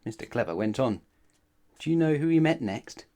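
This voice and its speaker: A man reads a children's story aloud in an expressive way. His medium-pitched voice moves up and down a great deal, as if speaking straight to young listeners. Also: moderate pace. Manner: expressive, addresses listeners with questions. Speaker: storybook narrator. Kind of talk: children's read-aloud narration.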